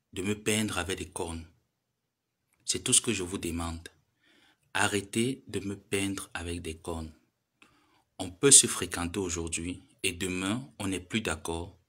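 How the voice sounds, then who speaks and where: Sharp-toned lecturing man. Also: sits low, a little slow, long pauses, slightly rough. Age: 30s. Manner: preachy, lecturing, pleading then scolding. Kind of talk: admonishing social media live monologue.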